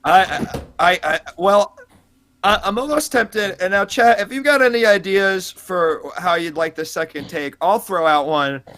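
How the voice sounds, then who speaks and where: A man's voice: high voice, moderate pace, fairly bright tone. A man hosting an improvised comedy interview, speaking in an earnest, politely probing way. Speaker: host of a mock audition.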